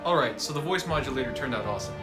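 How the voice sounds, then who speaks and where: Casting: male maker-host, medium pitch, casual workshop narration, wry, music underneath. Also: expressive, rapid-fire. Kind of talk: casual DIY build narration.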